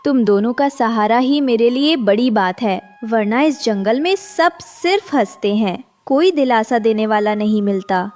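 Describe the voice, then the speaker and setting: A woman's voice: a little high, a little slow, hardly any pauses, darkish tone, soft background music, lightly acted. A woman voicing a poor, devoted mother bird in a children's cartoon, earnest and plaintive.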